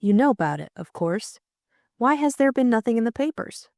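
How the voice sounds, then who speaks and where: A woman's voice: a little high, big pitch swings, normal speed, a little mellow, no echo, studio quality, voice pushed up. A woman voicing a spirited young upper-class lady sleuth, polite but direct and probing, in an audiobook story. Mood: polite, persistent.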